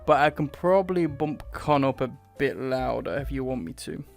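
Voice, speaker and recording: A man's voice: mid-range voice, normal speed, vocal fry. A man with a British accent hosting a casual livestreamed podcast chat. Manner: chatty, checks on the stream audio. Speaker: podcast host. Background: faint music.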